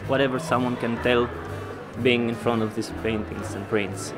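A man's voice: mid-range voice, fairly even intonation, normal speed, faint music behind. A young man from Greece with a Greek accent, speaking in hesitant phrases as an artist being interviewed. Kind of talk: on-camera interview. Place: indoor art fair booth. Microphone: handheld interview mic held out by interviewer.

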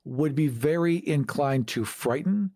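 A man's voice: a little high, lively intonation, unhurried, dark tone, no echo, clean studio sound. A man co-hosting a podcast, casually discussing tabletop games and their monsters. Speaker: podcast co-host.

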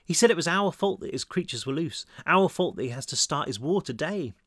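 A man's voice: fairly high pitch, lively intonation, a little fast, fairly bright tone, dry recording, clean studio sound. A man voicing a shaken, grim teenage boy in an audiobook, with a subdued and wry manner.

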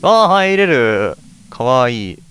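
A man's voice: medium pitch, sing-song, takes it slowly, a bit bright, clean tone. A man hosting a let's play, chatting casually to viewers and reading bits of on-screen text aloud as he goes.

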